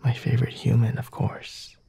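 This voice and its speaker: A man playing a flirty, self-assured vampire lord in an ASMR roleplay, speaking softly and formally in a breathy, often whispered voice with a teasing manner. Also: mid-range voice, completely flat delivery, moderate pace, slight background noise. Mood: patient, flirty. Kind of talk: ASMR roleplay, intimate dramatic monologue.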